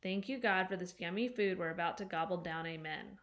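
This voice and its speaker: A woman's voice: on the low side, brisk, a bit bright, lightly acted. A woman voicing a bossy, playful young girl in a children's book read-aloud. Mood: sweet.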